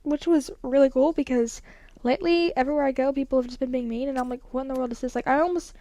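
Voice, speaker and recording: Teen girl vlogger, high-pitched, mellow tone, dry close sound, slightly congested, rambling. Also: fairly even intonation, fast, no echo. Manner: rambling, many "like" fillers. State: congested from a cold. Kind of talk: casual storytelling.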